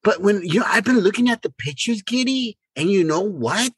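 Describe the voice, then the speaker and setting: A man's voice: high voice, lively intonation, moderate pace, breathy edge, no room sound. An older man co-hosting a casual podcast chat, stammering and repeating words, often exclaiming. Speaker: podcast co-host.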